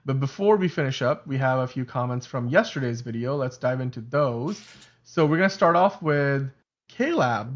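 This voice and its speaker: Livestream co-host, male, Urdu first language, loose and chatty, slightly muffled stream sound. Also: medium pitch. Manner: chatty, self-correcting, laughs often. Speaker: podcast co-host. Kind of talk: casual livestream chat.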